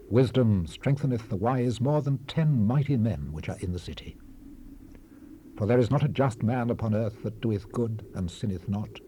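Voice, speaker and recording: An actor playing a solemn, world-weary sage, delivering scripture in a grave, oratorical manner with dramatic swells and hushed falls. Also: male voice, lowish voice. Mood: grave counsel. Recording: noisy 1970s cassette rip, faint hiss.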